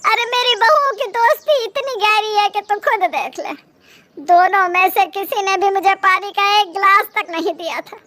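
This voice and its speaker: Casting: woman as elderly cartoon mother-in-law, very high voice, plaintive, martyred, forever complaining. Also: moderate pace, bright, forceful tone. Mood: self-pitying. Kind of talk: cartoon moral story acting.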